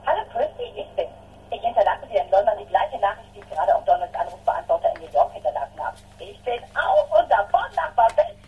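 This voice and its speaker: An actress playing an impulsive, excitable woman leaving phone messages in a radio drama. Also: mid-range voice, quick pace, a little hiss or hum, natural voice, poor audio. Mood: excited, apologetic. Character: impulsive, excitable woman confiding in her best friend.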